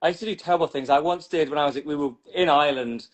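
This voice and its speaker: Brief: British male comic, slightly high voice, rambling and self-deprecating on a video call. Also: quick pace, muffled recording. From Newcastle, England. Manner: rambling, many "like" fillers, self-mocking. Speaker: stand-up comedian. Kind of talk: casual chat about his act.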